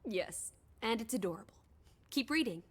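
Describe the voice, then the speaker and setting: A woman's voice: fairly high pitch, animated, normal speed, slightly rough. An actress playing a bold, impatient young female companion in a video game, blunt and wry, coaxing and teasing. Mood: curious, impatient. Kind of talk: naturalistic video game voice acting.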